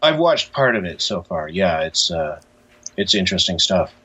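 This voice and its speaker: A man answering as an interview guest in a casual, reflective and measured explanatory way. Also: low voice, big pitch swings, sharp tone, husky. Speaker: interview guest, graphic novelist.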